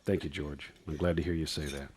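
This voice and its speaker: An actor playing a kind, tired father who speaks gently in a radio play. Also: a bass voice, steady tone, a little fast, husky. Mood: gentle. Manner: gentle, warm-hearted.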